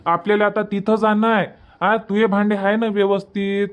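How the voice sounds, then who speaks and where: A man voicing a practical, impatient village husband in a comic cartoon, brisk and persuasive, with a Varhadi Marathi accent. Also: sits high, level tone, clean tone, lightly acted. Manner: brisk, persuasive, matter-of-fact.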